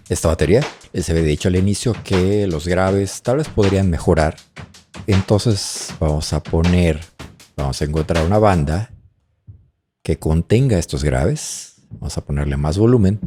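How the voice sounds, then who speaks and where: A man presenting a music production tutorial, explaining as he demonstrates and thinking aloud in short phrases with pauses. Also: low-pitched. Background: drum loops playing.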